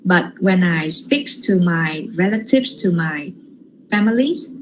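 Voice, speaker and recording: A woman's voice: on the low side, lively intonation, takes it slowly, a little hiss or hum, poor audio. A Vietnamese woman with a Vietnamese accent giving a workshop lecture over a video call, hesitant, with many "yeah" and "um" fillers. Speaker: guest lecturer.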